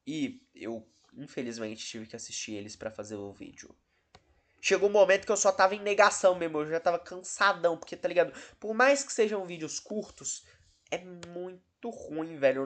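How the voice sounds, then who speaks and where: A Brazilian man hosting a video commentary, talking casually in a rambling, self-interrupting, dryly sarcastic way. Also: high voice. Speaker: host of a video channel about horror cases.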